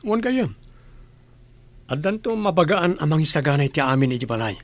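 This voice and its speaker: Man acting young Ilocano working man in love, radio drama dialogue, slightly high voice. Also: very animated, moderate pace. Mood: matter-of-fact. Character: young working man planning to marry his sweetheart.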